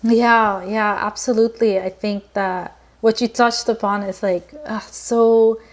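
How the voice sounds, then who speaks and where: A woman's voice: medium pitch, steady tone, a little slow. A woman from Lebanon talking as a podcast guest in an earnest, reflective way, with many fillers and restarts. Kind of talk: casual conversation.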